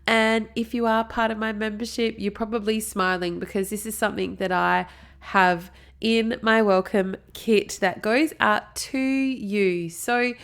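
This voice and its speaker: A woman's voice: mid-range voice, steady tone, measured pace, fairly bright tone. Chatty Australian woman with a blocked nose. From South Australia. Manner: chatty, upbeat, prone to digressions. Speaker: podcast host. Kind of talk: solo marketing podcast talk.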